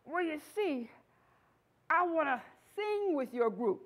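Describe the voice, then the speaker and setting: A woman's voice: high-pitched, sing-song, slow pace, exaggerated voice. A woman storyteller voicing a shy, earnest young male frog who dreams of singing, hesitant in a folktale. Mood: shy, hopeful.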